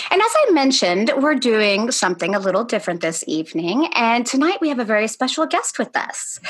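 A young woman hosting a horror interview over a video call, bubbly and animated. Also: medium pitch. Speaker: host. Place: dim room with a red backdrop.

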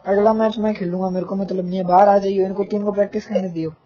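A man's voice: high-pitched, little pitch movement, fast talker, warm tone. A young man on a casual phone call, ranting insistently with playful threats in a nagging manner. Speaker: caller, gaming fan. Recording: muffled lo-fi phone call recording.

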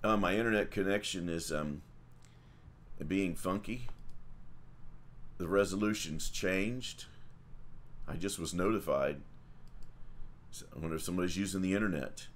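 A man's voice: sits low, normal speed, long pauses, slight background noise. A middle-aged man, a priest, chatting casually on a livestream, folksy and rambling with many fillers and restarts. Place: small home room with icons.

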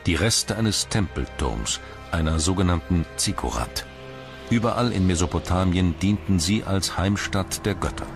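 A man with a very deep voice narrates a documentary from a script. His delivery is measured and formal. Music plays clearly behind him. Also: expressive.

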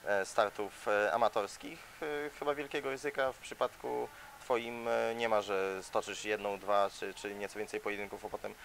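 A man's voice: fairly low pitch, fairly even intonation, moderate pace, gravelly, few pauses, a bit bright, slight background noise. A male sports interviewer asking long, leading questions with frequent fillers, recorded in an indoor gym.

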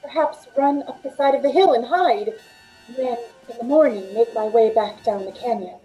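Actress as terrified heroine narrating her ordeal, vintage radio thriller style, high mellow voice, dramatic delivery, rain effects behind. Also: normal speed, faint music behind, natural voice, low-quality recording. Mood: tense, hushed. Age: middle-aged. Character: frightened, desperate working woman held captive, telling her ordeal. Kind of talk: old-time radio suspense acting, first-person narration. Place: indoors at home, on a video call.

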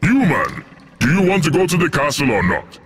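A man voicing a formal, haughty alien informant with a raspy voice in a video game. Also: on the high side, wildly swooping pitch, moderate pace, a bit bright, full character voice. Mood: impatient, formal. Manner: formal, emphatic. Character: haughty, civil male alien informant in armor. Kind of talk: video game cutscene acting.

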